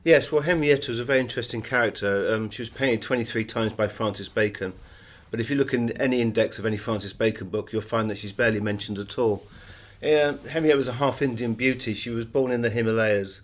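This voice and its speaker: A man's voice: medium pitch, quick pace. A middle-aged British man being interviewed as an author, telling anecdotes in a conversational way.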